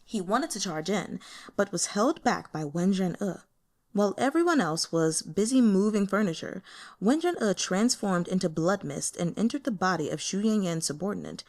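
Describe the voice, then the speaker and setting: A woman's voice: medium pitch, expressive, normal speed, a little mellow. A woman reading fiction aloud as an audiobook narrator in a scripted storytelling style.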